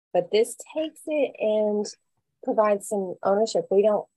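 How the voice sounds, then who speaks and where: A woman co-hosting an education podcast, opening the show and reading out a guest's introduction in a measured way. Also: lowish voice, mellow, dry recording, poor audio. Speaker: podcast co-host, English education professor.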